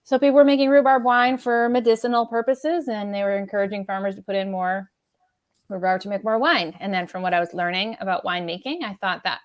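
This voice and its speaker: A woman's voice: medium pitch, fairly even intonation, fast, dry recording, clean studio sound. A woman from Maine, a rhubarb winemaker talking as a podcast guest in a chatty, easygoing way with frequent fillers and laughs. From Maine, USA. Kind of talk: casual podcast conversation.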